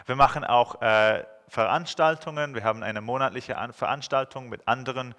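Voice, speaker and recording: English-accented guy in his 30s giving a chatty sermon, lots of ums, crisp voice bouncing round a big hall. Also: mid-range voice, moderate pace, fairly bright tone. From England. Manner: friendly, joking, with many hesitations. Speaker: guest preacher. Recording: long hall reverb.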